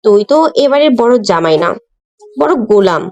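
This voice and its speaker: A woman's voice: mid-range voice, expressive, normal speed, warm tone, lightly acted. A woman voicing a snobbish, scheming young woman with a sneering manner in an audio story. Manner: sneering, commanding.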